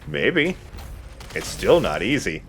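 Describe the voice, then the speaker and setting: A man's voice: fairly low pitch, sing-song, slow, fairly bright tone, a little hiss or hum. A man giving casual let's play commentary in a playful, self-deprecating way, swearing casually. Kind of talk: casual gameplay commentary with banter.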